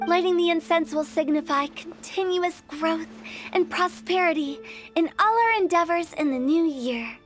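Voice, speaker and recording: Playful, theatrical young female game character: high voice, breezy and teasing. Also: moderate pace. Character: playful, theatrical young woman, cheeky funeral parlor director. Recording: music behind the voice.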